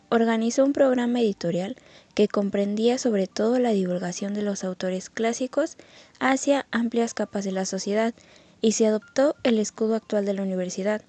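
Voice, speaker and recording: A woman's voice: a little high, warm tone. A woman reading scripted educational narration from a text, as a student presenter.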